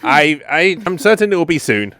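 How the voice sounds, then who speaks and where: Very low-voiced woman musing over a game. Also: very animated, moderate pace, fairly bright tone, faint music behind. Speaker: let's play streamer. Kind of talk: casual game reaction commentary.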